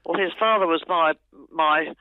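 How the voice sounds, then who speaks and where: An older Australian woman chatting and telling a rambling family story on the phone, laughing often and talking loudly. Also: low voice, normal speed, clean tone, dry recording. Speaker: aunt recalling family stories on a phone call. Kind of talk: casual phone chat, storytelling. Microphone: telephone. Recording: tinny phone line, dry.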